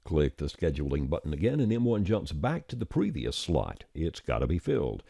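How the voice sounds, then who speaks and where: Very deep-voiced man narrating a tutorial. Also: sing-song, normal speed, creaky, warm tone, slightly rough, no echo, studio quality. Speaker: tutorial presenter. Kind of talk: scripted software tutorial narration.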